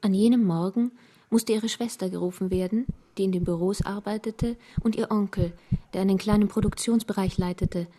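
An actress playing a quiet female narrator in a radio play, recounting events in a measured way. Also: mid-range voice, steady tone, slight background noise, natural voice. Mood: calm, recounting.

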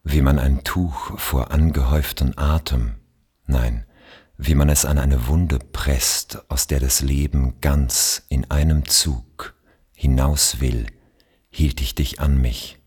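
A man from Austria reading poetry aloud in a measured literary recitation, with long pauses between phrases and a breathy, husky voice. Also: extremely low pitch, fairly even intonation, a little mellow.

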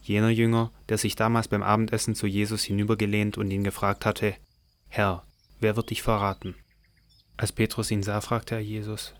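A man with a low voice narrates scripture for an audio drama. He reads from a script as the storyteller. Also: completely flat delivery, moderate pace.

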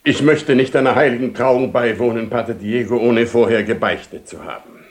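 A man's voice: mid-range voice, moderate pace, lightly acted. An actor playing a pompous, ceremonious knight commander and father in a radio play, long-winded and repetitive. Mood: earnest. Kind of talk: German-language radio play acting, 1970.

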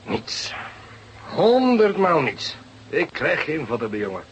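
A man's voice: on the high side, huge pitch swings, takes it slowly, background noise, heavily acted. A man acting an eager, exasperated young police detective in a radio play, theatrical, sarcastic and emphatic. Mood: frustrated.

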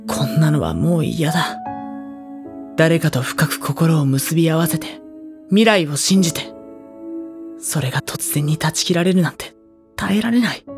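Introspective teenage schoolboy: low, soft, hesitant, anguished audio drama narration over a clear music bed. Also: female voice. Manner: soft-spoken, hesitant, brooding. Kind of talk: Japanese audio drama acting with first-person monologue.

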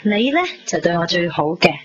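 A woman's voice: lowish voice, lively intonation, a little fast, lightly acted. A woman playing a bubbly, loyal young best friend in a Hong Kong Cantonese radio drama, chatty, gushing and teasing. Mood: excited, coaxing. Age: young adult. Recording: dull 1990s radio recording, background noise.

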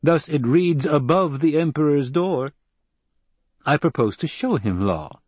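A proud young nobleman with an imperious, forceful way of speaking, as a male narrator puts him on. The voice is lifted and louder than the narration, with a husky edge, close and dry. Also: on the high side, lively intonation, a little slow, dry recording, voice pushed up. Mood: commanding.

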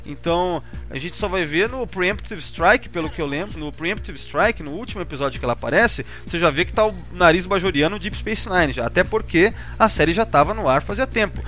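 A man's voice: high voice, animated, fairly quick, hardly any pauses, pushed and bright. A Brazilian man hosting a podcast, casually discussing a TV series and peppering his talk with tag questions. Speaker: podcast host. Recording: music under the voice.

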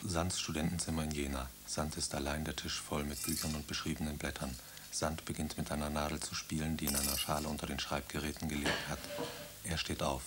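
A man calmly reading out the stage directions of a radio drama, matter-of-fact. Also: a bass voice, a little fast, very noisy. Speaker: narrator.

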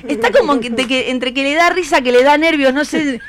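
A woman's voice: sits high, brisk, crowd noise. An Argentine woman hosting a live conversational radio interview, echoing back answers in an encouraging way. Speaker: radio host. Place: radio studio with a group. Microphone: studio microphone.

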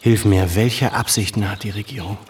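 A man's voice: on the low side, steady tone, moderate pace, slight background noise, close to an everyday voice. An actor playing an educated, earnest Armenian man in a radio play, brooding and pensive, with a troubled intensity. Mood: respectful, earnest.

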